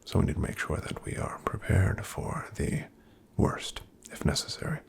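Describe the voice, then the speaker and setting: A man in an ASMR roleplay voicing a calm, careful paladin travel companion, softly whispering to the listener. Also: normal speed, lightly acted. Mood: calm hushed. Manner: soft, gentle whispering to the listener.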